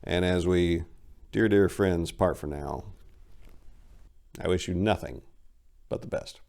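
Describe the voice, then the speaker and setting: Male story host, low register with heavy fry, dramatic and emphatic reader. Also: slow, a little mellow. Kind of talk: dramatic story reading with casual commentary.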